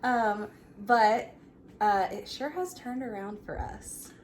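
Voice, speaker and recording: A woman in her thirties telling a personal story in a casual, chatty, animated way. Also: fairly high pitch, takes it slowly. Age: 30s. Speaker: vlog co-host. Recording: clearly noisy room ambience.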